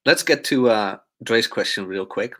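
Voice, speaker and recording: Man, lowish voice, easygoing host, casual chat, reads listener questions. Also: moderate pace, bright, forceful tone. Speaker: podcast host.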